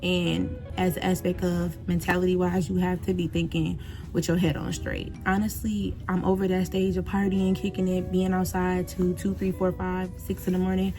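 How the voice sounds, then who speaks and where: A woman's voice: fairly low pitch, level tone, normal speed, music in the background. A woman, talking casually and directly to her viewers in a reflective vlog. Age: 20s. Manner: chatty, direct address to viewers. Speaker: vlogger.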